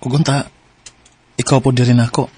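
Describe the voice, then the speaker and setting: A man's voice: medium pitch, little pitch movement, normal speed, mellow, breathy edge, close to an everyday voice. An actor playing an earnest young man courting a woman in a radio drama, speaking sincerely and conversationally.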